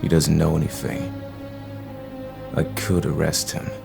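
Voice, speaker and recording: A grim, sickly masked vigilante in an audio drama. The voice is an extremely low, gravelly growl, slow and menacing, with music behind it. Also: male voice, monotone, exaggerated voice. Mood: brooding, weary. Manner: slow, terse, brooding, menacing. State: sick, coughing. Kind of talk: audio drama acting with noir narration.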